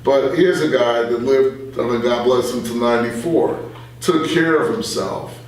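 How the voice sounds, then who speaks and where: A man's voice: mid-range voice, unhurried. A man talking casually as a talk show host, agreeing emphatically and speaking in a conversational way. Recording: distant, very echoey room, faint noise.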